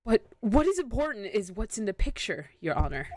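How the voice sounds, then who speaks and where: A woman's voice: medium pitch, big pitch swings, brisk, noisy background, lightly acted. A woman voicing a brash young male defense lawyer in a game, with a confident, accusing delivery.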